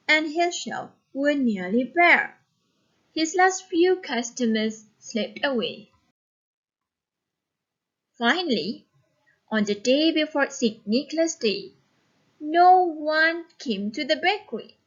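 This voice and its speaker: A woman teacher reading a story aloud and giving instruction in a deliberate, instructive manner. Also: high voice, a bit bright, dull audio.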